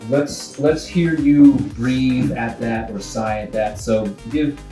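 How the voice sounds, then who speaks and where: A man giving informal director's notes to actors, halting and thinking aloud as he goes, sometimes acting out sample lines. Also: mid-range voice, mellow. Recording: roomy, distant mic, faint music.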